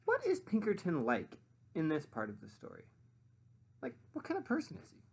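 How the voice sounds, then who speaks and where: A man narrating a children's story expressively as a read-aloud teacher, pausing to muse and put questions to listeners. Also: high-pitched, husky. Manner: animated, pausing to muse and prompt.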